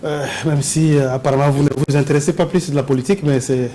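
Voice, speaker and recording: Male talk-show host, Congolese French accent, slightly high mellow voice, formal presenting, noisy background. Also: fairly even intonation, quick pace. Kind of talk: formal broadcast interviewing. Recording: clear background noise and ambience.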